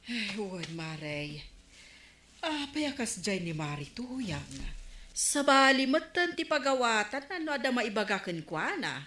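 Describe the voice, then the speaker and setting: Casting: touchy Ilocano councilwoman in radio comedy, bright voice with big swings in pitch, echoey room. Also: big pitch swings, a little slow, exaggerated voice. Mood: aggrieved. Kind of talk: Filipino comedy radio drama acting. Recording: roomy, echoing studio sound.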